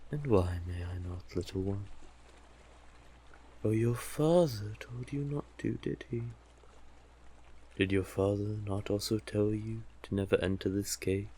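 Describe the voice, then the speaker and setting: A monstrous yet civil male sea witch acted by a man speaks in a low, very unhurried voice. He is soothing and teasing, with courtly manners and lingering endearments, as in an intimate audio drama. Noticeable background noise sits behind the voice. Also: darkish tone. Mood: coaxing, then wry, civil.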